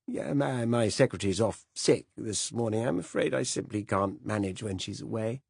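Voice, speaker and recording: A man's voice: on the low side, moderate pace, gravelly, darkish tone, slightly rough, no room sound, clean studio sound, voice pushed up. A man voicing an elderly, absent-minded upper-class British official who stammers and apologizes. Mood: dithering.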